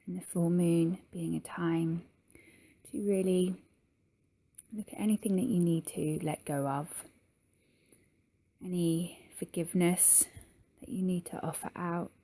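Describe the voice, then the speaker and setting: A woman leading a guided yoga nidra meditation in a soft, soothing manner with long pauses. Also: fairly low pitch, steady tone.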